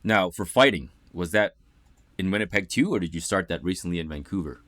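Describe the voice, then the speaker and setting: A man's voice: deep voice, expressive, fairly quick, fairly bright tone, no room sound, studio quality. Upbeat chatty man hosting an interview. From Ontario, Canada. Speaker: interview host. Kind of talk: casual interview chat. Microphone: video call setup.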